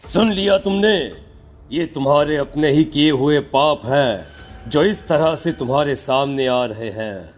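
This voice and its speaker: Man playing a stern, higher-pitched holy man. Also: a little slow, lightly acted. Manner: grave, solemn, accusing. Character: stern, accusing male occult holy man. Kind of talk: horror cartoon voice acting.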